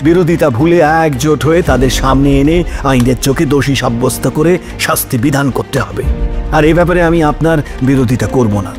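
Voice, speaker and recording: A man's voice: medium pitch, a little fast, natural voice. A man playing a principled, sceptical opposing lawyer who speaks formally and emphatically in an audio drama. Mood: solemn, conceding. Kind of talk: Bengali audio drama acting. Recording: dramatic music under the voice.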